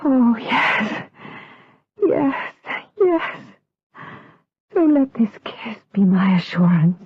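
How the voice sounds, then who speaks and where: Woman acting a breathy, slow-speaking gothic seductress. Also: fairly high pitch, sing-song, full character voice. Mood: tearful, dying. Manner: melodramatic, slow, intense, formal archaic diction. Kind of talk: gothic radio drama acting. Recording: lo-fi, tinny 1980s audio drama recording.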